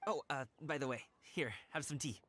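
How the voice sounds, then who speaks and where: An actor playing a friendly, generous, happy-go-lucky young courier in a video game, with an eager, casual manner. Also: male voice, medium pitch, normal speed, fairly bright tone, slight background noise, lightly acted. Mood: eager, helpful.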